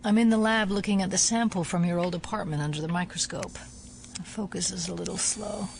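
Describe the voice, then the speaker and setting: FBI scientist, cool, methodical, low-pitched, drily reproving, audio drama, background ambience. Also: female voice, fairly even intonation, fairly quick, gravelly, background noise. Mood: focused.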